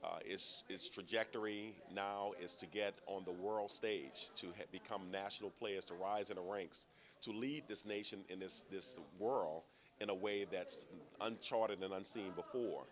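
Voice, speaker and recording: Deep-voiced male administrator, earnest and lofty. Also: fairly even intonation, unhurried, a bit bright. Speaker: university system official. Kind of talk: interview statement to camera.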